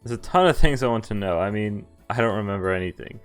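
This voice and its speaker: A man streaming a visual novel, reading its text aloud and chatting in a halting, thinking-aloud way. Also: on the low side, animated, clean tone, faint music behind.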